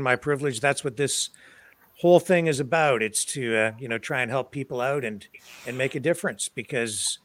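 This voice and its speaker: A man's voice: mid-range voice, pushed and bright, dry recording. A man hosting an online interview, asking questions hesitantly with many "uh, you know" fillers. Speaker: host. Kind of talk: casual interview.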